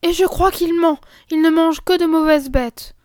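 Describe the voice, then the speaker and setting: A sensible little girl explains herself carefully in a high, earnest voice, as a woman narrator puts her on. The reading is close and dry, with a storybook clarity. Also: steady tone, normal speed, a little mellow, no room sound.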